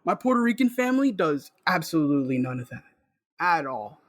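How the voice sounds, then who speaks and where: A young man with a fairly high voice, recorded close and dry. He talks casually and informally as an interview guest, sharing stories in an animated, slangy way. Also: brisk, no echo. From South Bronx, New York. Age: young adult. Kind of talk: casual conversational storytelling.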